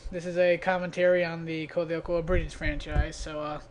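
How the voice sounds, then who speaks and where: A man's voice: fairly high pitch, flat delivery, brisk, slight background noise. A man giving a casual, off-the-cuff commentary on his own videos in a self-deprecating, grumbling way. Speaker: creator of an abridged parody series.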